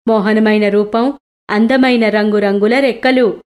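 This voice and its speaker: A woman voicing a discontented crow in an animated fable, complaining and pleading. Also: mid-range voice, measured pace, natural voice. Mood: pleading, longing. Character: discontented crow longing to be another bird.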